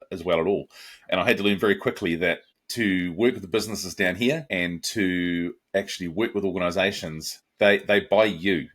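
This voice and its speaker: A middle-aged man with a New Zealand accent, a guest answering interview questions in a relaxed, reflective way. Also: sits low. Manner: relaxed, reflective, with frequent restarts and asides.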